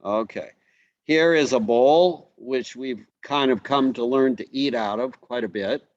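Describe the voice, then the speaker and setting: A man presenting food photos in a casual online class, relaxed and halting with many fillers. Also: medium pitch, no echo, muffled recording. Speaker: host presenting slides.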